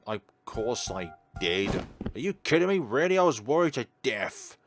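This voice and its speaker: A man's voice: mid-range voice, sing-song, normal speed, no echo, soft background music. A man hosting a visual novel playthrough, reading the text aloud and mixing in casual, offhand remarks. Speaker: host of a game playthrough.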